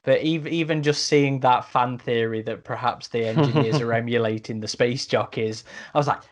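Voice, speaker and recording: A man in a casual podcast discussion, talking in a halting, piecemeal way. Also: medium pitch. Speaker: podcast panellist.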